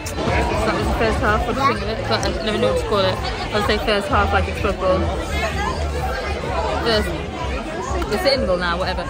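A woman's voice: medium pitch, fairly even intonation, brisk, pauses often, loud music behind the voice. A woman, chatting casually as a family vlogger and often checking in with tag questions. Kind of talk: casual vlog chat with her child. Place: busy theatre, then inside a moving car. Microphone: phone at arm's length. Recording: noisy handheld recording with crowd and vehicle noise. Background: crowd chatter, car and ambient noise.

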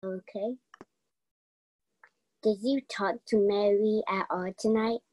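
A woman's voice: medium pitch, steady tone, slow, dark tone, no echo. A young woman playing an insistent murder investigator in an amateur radio play. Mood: questioning. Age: young adult.

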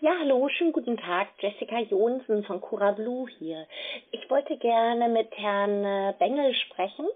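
A woman's voice: on the high side, expressive, a little slow. A woman working as a call centre agent, polite and businesslike on a sales phone call. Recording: tinny narrow phone line.

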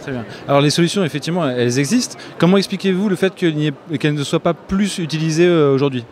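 A man interviewing a guest, asking questions in a halting way full of fillers and restarts, into a handheld mic. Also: a little high, a little mellow, a little hiss or hum.

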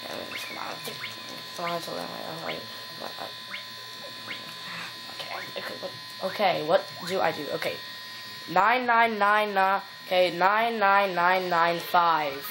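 A woman's voice: on the low side, unhurried, creaky, long pauses, background noise. A woman casually commenting on her gameplay, rambling with many fillers, giggles and silly rhymes, recorded on a phone at home. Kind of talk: casual let's play commentary. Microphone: phone filming the TV.

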